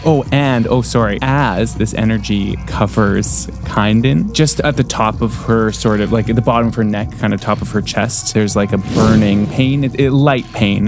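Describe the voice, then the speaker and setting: A man's voice: on the low side, steady tone, normal speed, vocal fry, hardly any pauses. A man playing a tabletop roleplaying game, describing what his character does. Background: background music.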